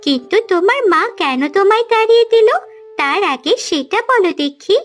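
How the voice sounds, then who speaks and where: A woman voicing a kindly, curious helper in a fairy-tale cartoon, coaxing and gently teasing. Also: very high voice, expressive, normal speed. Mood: sympathetic. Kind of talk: Bengali cartoon voice acting. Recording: music behind the voice.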